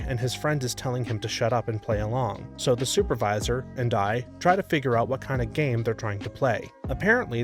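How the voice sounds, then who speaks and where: Man narrating stories over faint music. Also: mid-range voice. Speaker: narrator reading online stories. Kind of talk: scripted narration.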